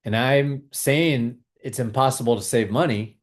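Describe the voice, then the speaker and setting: Guy calmly coaching a tapping routine, average pitch, repeating lines steady. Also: a little slow. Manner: calm, repetitive, coaching tone. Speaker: host leading a tapping exercise. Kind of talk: guided tapping exercise and money talk.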